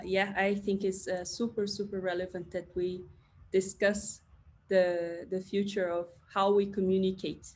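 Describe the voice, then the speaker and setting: A woman's voice: lowish voice, darkish tone, a little hiss or hum. A woman speaking earnestly in a panel discussion, with some restarts.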